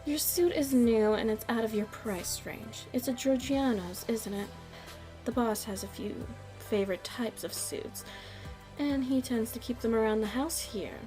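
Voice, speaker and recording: Woman acting as proud mafia showbiz lady, kinda high voice, taunting n deliberate, asmr roleplay w music behind. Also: mellow, close to an everyday voice, poor audio. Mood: coolly analytical. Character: shrewd proud nightclub headliner and businesswoman in the mafia. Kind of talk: ASMR roleplay audio drama.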